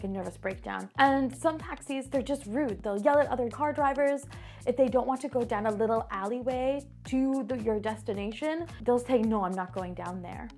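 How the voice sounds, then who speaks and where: A woman's voice: fairly high pitch, a little mellow. Upbeat woman vlogging. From Canada. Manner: chatty, emphatic, upbeat sign-off. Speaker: vlogger host. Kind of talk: casual opinion vlog to camera.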